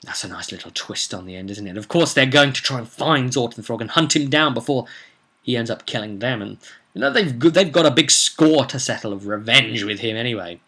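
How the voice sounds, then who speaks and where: A man's voice: medium pitch, animated, a little fast, pushed and bright. A man narrating a tabletop roleplay story as game master, casual but dramatic, letting phrases trail off. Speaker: game master and vidcast host.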